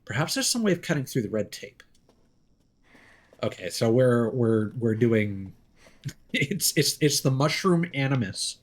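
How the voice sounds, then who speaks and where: A man's voice: medium pitch, big pitch swings, measured pace, pauses often. A man giving casual live gameplay commentary, musing aloud and reading in-game notes out as he goes. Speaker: video game streamer.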